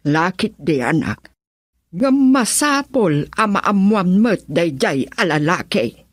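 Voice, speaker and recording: A woman with an Ilocano accent playing a weary older mother with a low, husky voice in a radio drama. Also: big pitch swings, takes it slowly. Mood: grave, hesitant.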